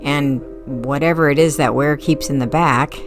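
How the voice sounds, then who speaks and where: A woman's voice: deep voice, moderate pace, over music, natural voice. A woman voicing a sardonic, skeptical female bartender in an audiobook, dry and sarcastic. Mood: grudging.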